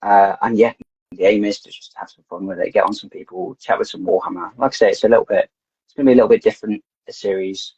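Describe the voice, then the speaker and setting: A man's voice: on the low side, steady tone, fast talker, darkish tone, slightly rough, no room sound. A man guest on a podcast, chatting casually and rambling, often repeating words as he gets his thoughts out. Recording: dull lo-fi dry sound.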